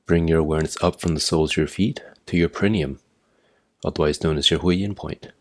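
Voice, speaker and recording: A man with an extremely low-pitched voice leads a guided meditation. He speaks as an instructor, giving measured, step-by-step directions in a plainly instructional way. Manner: measured, imperative step-by-step guidance.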